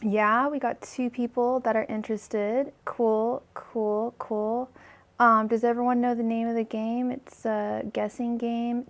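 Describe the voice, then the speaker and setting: A woman's voice: on the high side, creaky. A young woman hosting a live tarot stream, chatting casually with viewers and saying her voice feels weak today. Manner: chatty, filler-heavy, addresses viewers by name. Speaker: tarot reader hosting a livestream. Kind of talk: casual livestream chat.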